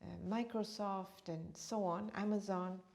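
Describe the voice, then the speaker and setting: A woman's voice: mid-range voice, fairly even intonation, a little slow, a little mellow. A middle-aged woman from Uganda whose first language is Swahili, a meditation teacher speaking in an interview in an earnest, flowing way that repeats phrases for emphasis. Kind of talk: interview conversation.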